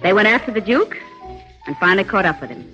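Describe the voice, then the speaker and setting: An actress playing a brisk, eager American newspaper reporter in a 1940s radio crime drama. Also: female voice, medium pitch, animated, close to an everyday voice. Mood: explaining. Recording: muffled 1940s radio recording with music.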